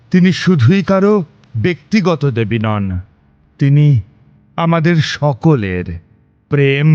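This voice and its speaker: Slow, solemn male storyteller with long reverb. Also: on the high side, darkish tone, clear, resonant tone, highs cut off. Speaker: narrator. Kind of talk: dramatic scripted horror-story narration. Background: music.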